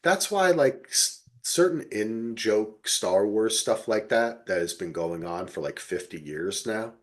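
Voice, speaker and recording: A man with a fairly low voice hosts a casual review podcast. He speaks informally and with enthusiasm, dropping slang as he goes. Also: animated, unhurried. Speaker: podcast host.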